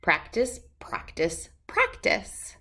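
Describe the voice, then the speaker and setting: Eager young T. Rex siblings from a storybook, acted out by a woman, keen to help. The delivery is emphatic and encouraging, with pitch that leaps around. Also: wildly swooping pitch, slow pace, sharp tone, slightly rough, heavily acted.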